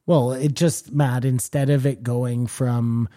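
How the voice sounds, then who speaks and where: A man's voice: medium pitch, steady tone, slow pace, warm tone. A man co-hosting a podcast, rambling and speculating in casual chat, swearing freely. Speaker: podcast co-host.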